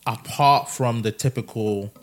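A young man hosting a casual family podcast, teasing and laughing easily, often trailing off quietly. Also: mid-range voice, unhurried. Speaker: podcast host.

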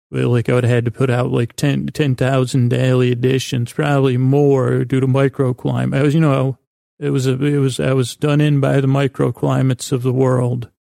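A man hosts a sleep podcast in a creaky, relaxed voice, recorded close and dry. He meanders gently through his sentences, stumbling and restarting as he goes, with a soothing, quietly absurd bent. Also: medium pitch, no room sound. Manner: meandering, halting, stumbling over words. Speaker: podcast host. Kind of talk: rambling bedtime-story sleep podcast monologue.

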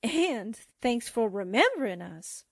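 A woman's voice: fairly high pitch, big pitch swings, slow pace, no room sound, studio quality, exaggerated voice. A woman acting a feisty, wisecracking newspaper sidekick in a 1940s-style radio drama, breezy and sardonic. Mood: wry.

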